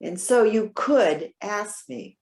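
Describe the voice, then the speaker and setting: Proud, witty older woman played by an actress, stage-reading drama, articulate and dry, mid-pitched, echoing room. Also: takes it slowly, vocal fry, a little mellow. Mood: wounded, sardonic. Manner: articulate, wry, pointed. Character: proud, witty elderly woman, retired social worker. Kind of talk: readers theater dialogue. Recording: roomy echo.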